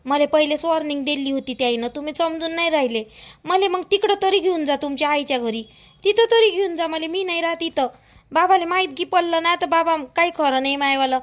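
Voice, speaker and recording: A woman plays an anxious young village wife in a homemade cartoon. Her voice is extremely high, and she pleads and protests in a whining way with a rural Vidarbha Marathi accent. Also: brisk. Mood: anxious, pleading. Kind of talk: amateur cartoon dialogue in rural dialect.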